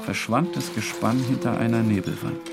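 A man's voice: medium pitch, normal speed, gravelly. A man narrating a literary story in a dramatic scripted style. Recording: music behind the voice.

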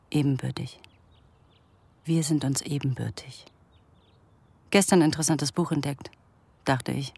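An actress playing a brooding, self-possessed woman in a radio drama, musing bitterly in an intimate, breathy murmur. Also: extremely low pitch, no echo, exaggerated voice. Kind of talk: radio drama inner monologue.

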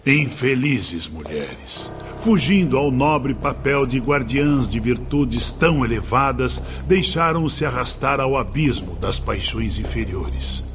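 Stern spirit teacher, grave lecturing, lively pitch, music behind. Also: male voice, slow, few pauses. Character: stern male spirit instructor of students.

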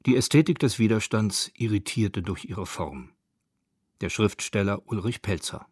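Low-voiced male documentary narrator, dry studio sound. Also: normal speed, darkish tone, husky, no echo. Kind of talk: scripted radio documentary narration.

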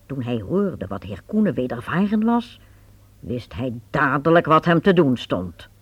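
A woman narrates a radio play with very lively, swooping intonation. She speaks slowly, and her voice is slightly breathy. The recording is close and dry. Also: rumbling low, no room sound, highs cut off. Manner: lively, expressive storytelling. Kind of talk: scripted storytelling narration.

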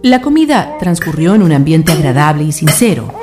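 A woman's voice: sits low, sing-song, a little fast, music in the background. A woman reading scripted narration for a radio drama.

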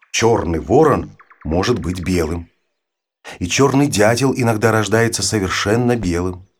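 A man with a husky voice reads an educational narration for children in an expressive, storytelling manner. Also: on the low side. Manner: storytelling, poses questions to the listener. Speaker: audiobook narrator.

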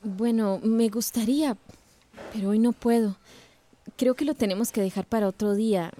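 An actress playing a young, impulsive schoolteacher in a radio drama. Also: female voice, on the high side, moderate pace, warm tone, lightly acted. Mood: regretful. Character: impulsive, proud young female schoolteacher, smitten.

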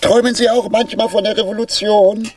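A woman's voice: mid-range voice, steady tone, a little fast, background noise, close to an everyday voice. An actress playing an earnest, provocative unemployed married woman in a radio drama, speaking in a pointed, matter-of-fact way. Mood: challenging. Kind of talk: 1980s German radio drama acting.

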